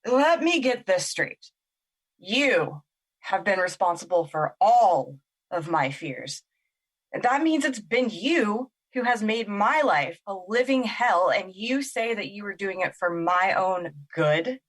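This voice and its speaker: A woman's voice: mid-range voice, lively intonation, takes it slowly. Woman playing bitter girl with a swooping voice. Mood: bitter, hurt. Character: bitter, skeptical dying girl in hospital. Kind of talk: audio drama acting.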